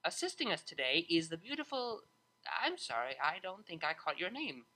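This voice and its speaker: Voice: mid-range voice, big pitch swings, normal speed, exaggerated voice. A narrator voicing a friendly, easygoing older teacher mare with a kindly, chatty manner. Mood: addressing class. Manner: kindly, gently joking, chatty.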